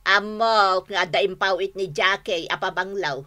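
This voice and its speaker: A woman's voice: medium pitch, steady tone, measured pace, bright, forceful tone. A woman playing a pushy, grasping, vain aunt in a radio drama, with an Ilocano accent. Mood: wheedling, demanding.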